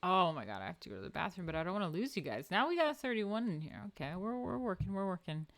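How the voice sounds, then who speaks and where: A woman with a New York accent and a fairly low voice reads an art history book aloud for her viewers on a stream. She breaks off now and then to add wry, offhand comments of her own. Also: very animated, quick pace, fairly bright tone. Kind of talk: casual livestream reading and commentary.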